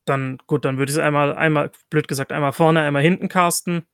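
A man with a somewhat high voice plays a tabletop role-playing game, recorded very dry. He talks casually, working out his moves aloud with hesitations and repeated words. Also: steady tone, fairly quick, a bit bright, clean tone, dry recording. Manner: hesitant, repeating and revising himself.

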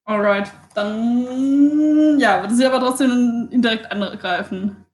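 A woman's voice: a little high, slow pace, darkish tone. A woman playing a tabletop role-playing game, chatting casually as she describes her character's moves and slipping into English phrases. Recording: echoey, roomy sound.

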